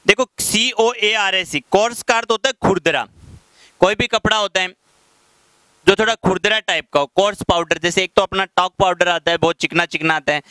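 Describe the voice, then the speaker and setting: A man in his thirties with a Rajasthani accent, teaching English vocabulary in a classroom lecture and slowing down to read out English example sentences. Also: sits high, bright, forceful tone, clean tone, no echo, rough recording. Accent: Rajasthani Hindi. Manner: explains patiently, slows down to read English example sentences aloud. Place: classroom in front of a whiteboard. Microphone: headset mic.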